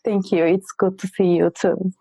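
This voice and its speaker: A woman's voice: medium pitch, flat delivery, normal speed, dark tone, breathy edge, no room sound, poor audio. A woman from Turkey with a Turkish accent, a research guest answering interview questions hesitantly with frequent fillers.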